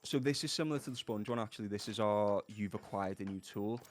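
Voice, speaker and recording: Scouse male audio designer, low voice, relaxed explainer, livestream chat. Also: fast. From Liverpool, England. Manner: easygoing, explains in loose trailing phrases.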